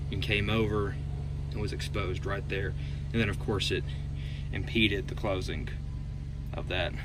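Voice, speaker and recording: A man giving an unscripted, hands-on installation walkthrough, explaining and pointing things out step by step. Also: lowish voice. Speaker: DIY how-to presenter. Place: inside a pickup truck cab. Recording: noisy handheld recording inside a vehicle.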